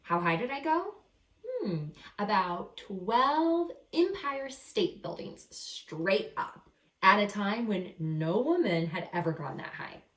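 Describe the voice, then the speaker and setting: A woman reading a picture book aloud to children as a teacher, expressive and encouraging, pausing to explain words simply. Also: medium pitch. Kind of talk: animated children's read-aloud with simple asides. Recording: roomy echo, distant microphone.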